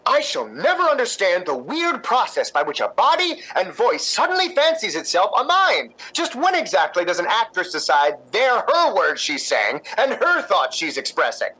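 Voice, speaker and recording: A man performs an exasperated playwright in a 1950s-style radio play. His voice is high and forceful with very lively pitch. He is articulate, witty and sardonic. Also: moderate pace, hardly any pauses, husky, heavily acted, poor audio. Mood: exasperated, cutting. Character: exasperated, witty male playwright, cutting and proud.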